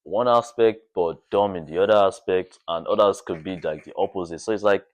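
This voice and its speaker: Deep-voiced young man chatting informally. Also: brisk. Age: young adult. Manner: informal, frequent "like" as filler. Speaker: podcast guest. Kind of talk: casual chat.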